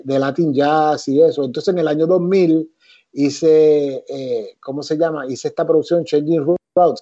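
A middle-aged man with a Puerto Rican accent, a musician and arranger talking about his career in an interview, relaxed and reflective, with many fillers. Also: on the high side. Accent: Puerto Rican Spanish. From Puerto Rico. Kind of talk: interview answers, storytelling. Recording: dull lo-fi sound.

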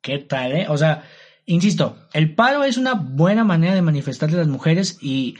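A man's voice: on the high side, lively intonation, moderate pace. A young man with a Mexican accent co-hosting a casual discussion, slangy and joking, tossing in tag questions. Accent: Mexican Spanish. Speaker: podcast co-host.

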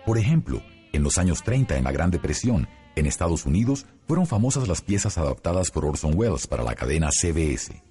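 A man narrates a scripted radio documentary. His voice is deep and mellow, moving expressively up and down. Faint music plays underneath. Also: very animated, a little fast, slightly rough.

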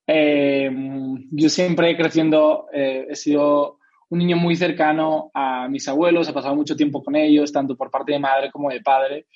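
Dull-sounding young man, higher-pitched voice. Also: flat delivery, measured pace, a little mellow. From Spain. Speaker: interviewed author. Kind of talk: reflective interview conversation. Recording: dull, lo-fi audio.

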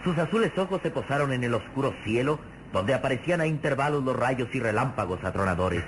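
An actor as a grave, suspenseful narrator of a vintage radio serial, florid and dramatic. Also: male voice, medium pitch, lively intonation, quick pace, slight background noise, lightly acted, poor audio. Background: dramatic music.

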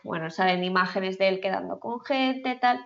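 A woman's voice: mid-range voice, moderate pace, creaky, fairly bright tone, highs cut off. A woman who grew up in the Philippines, co-hosting a casual chat and laughing often, with many fillers. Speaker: podcast co-host.

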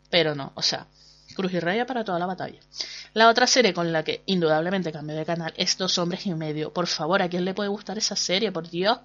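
A woman's voice: lowish voice, a bit bright, muffled recording. A woman with a Canary Islands accent hosting a casual solo podcast, chatting emphatically with lots of fillers and exclamations. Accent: Canary Islands Spanish. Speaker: podcast host.

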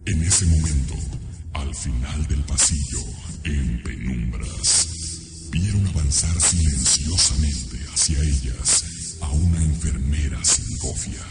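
A man's voice: rumbling low, takes it slowly, over music, full character voice. A man with a Mexican accent narrating a horror radio drama as a grave, ominous storyteller. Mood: grave, suspenseful.

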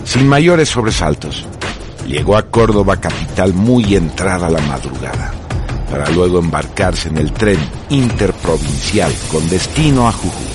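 A man's voice: low voice, lively intonation, unhurried. A man narrating a radio drama from a script in a grave, declamatory manner. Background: prominent music.

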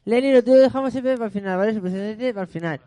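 A man hosting a casual radio talk show, quizzing his guests with quick playful questions. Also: high voice, mellow, clear, resonant tone, no echo. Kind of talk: casual studio chat.

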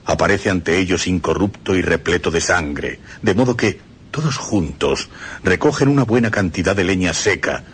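A man with a low voice recites an old text gravely and deliberately for radio. A faint hiss sits behind him. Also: animated. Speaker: reader of a quoted text. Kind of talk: dramatic radio reading.

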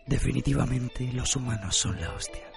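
An actor from a radio drama voicing a sardonic male death spirit in slangy Spain Spanish, with a raspy, gravelly voice. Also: medium pitch, steady tone, normal speed, over loud music. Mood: amused. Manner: mocking, slangy.

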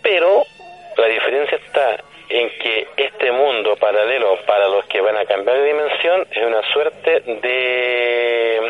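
A man's voice: medium pitch, lively intonation, measured pace. A man with a Chilean accent speaking as a radio guest, explaining things didactically in conversation, with rhetorical questions and checks that he is understood. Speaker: radio guest, paranormal commentator. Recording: dull lo-fi telephone-like radio line.

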